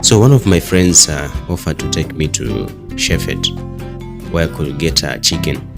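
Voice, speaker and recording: Young Kenyan vlogger, deep low register, relaxed delivery with frequent pauses, music bed behind. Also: male voice. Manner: unhurried, frequent "uh" pauses, list-like repetition. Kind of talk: casual personal vlog monologue.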